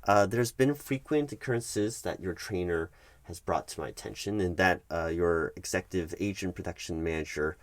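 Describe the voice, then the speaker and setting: A man doing a soft-spoken ASMR roleplay as a polite, evasive HR manager, speaking in measured, hesitant, formal office phrases. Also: fairly low pitch, no room sound. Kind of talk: soft-spoken ASMR roleplay, one-sided workplace meeting.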